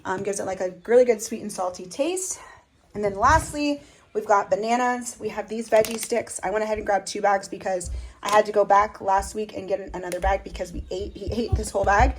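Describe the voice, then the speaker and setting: A young woman vlogging casually in her home kitchen, chatty and upbeat with a slightly hoarse voice. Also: medium pitch, fairly quick, a little hiss or hum, low-quality recording. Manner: chatty, upbeat, lots of fillers. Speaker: family vlogger. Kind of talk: casual chat to camera.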